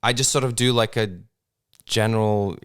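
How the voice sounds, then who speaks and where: Guy in his 30s, deep clear voice, lots of ums and restarts. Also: steady tone, moderate pace, fairly bright tone. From Serbia. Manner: hesitant, many fillers and restarts. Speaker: actor, interview guest. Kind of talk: casual interview conversation.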